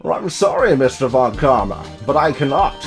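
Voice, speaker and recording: A man's voice: medium pitch, very animated, moderate pace, soft background music, voice pushed down. A man voicing a stern elderly courtroom judge in a video game, speaking formally and officially. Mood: solemn. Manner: formal, declarative.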